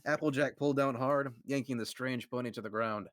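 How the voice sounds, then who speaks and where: A man's voice: medium pitch, a little fast, fairly bright tone, no room sound. A man hosting a comedic fanfic reading, narrating and laughing often. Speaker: host and narrator.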